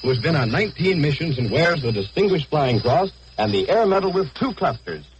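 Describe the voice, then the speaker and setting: A man's voice: a little high, sing-song, brisk. A man announcing and interviewing in a formal, scripted 1940s wartime radio style on a scratchy old broadcast. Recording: noisy, scratchy 1940s shortwave radio recording.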